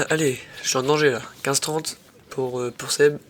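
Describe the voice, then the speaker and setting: A man's voice: a little high, unhurried, slight background noise. Young man with a cold, slangy. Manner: slangy, excitable, talks to viewers as "les mecs". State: has a head cold. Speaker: tennis vlogger commenting his own match. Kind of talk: casual match commentary voice-over.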